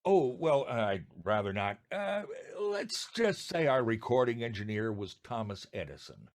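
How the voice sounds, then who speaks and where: A man's voice: medium pitch, big pitch swings, unhurried. An older American man from New Jersey co-hosting a podcast with jokey, theatrical scripted banter. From New Jersey, United States. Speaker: podcast co-host.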